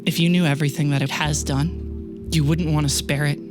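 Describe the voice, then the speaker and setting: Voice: medium pitch, steady tone, brisk, pitched below the performer's own voice, close to an everyday voice. A game master voicing a hard, furious woman with a curt, confrontational manner. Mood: bitter, disappointed. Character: Lucy, furious, hard, disappointed gun-threatened woman. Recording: music bed under the voice.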